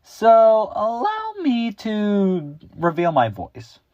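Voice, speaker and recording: A bouncy, high-pitched cartoonish YouTuber, as a teenage boy puts it on, loud and full of pep. The delivery stays slow and deliberate, and the sound is dull and dry, like a cheap home microphone in a small room. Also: wildly swooping pitch, no room sound, exaggerated voice. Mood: excitable. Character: hyper young male cartoon-style YouTuber persona. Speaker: YouTube host.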